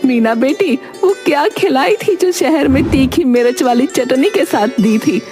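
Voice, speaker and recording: A woman's voice: high-pitched, animated, measured pace, pitched above the performer's own voice. A woman voicing a weak, grateful village mother with a homely rustic manner in a cartoon story. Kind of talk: cartoon moral story acting. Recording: music behind the voice.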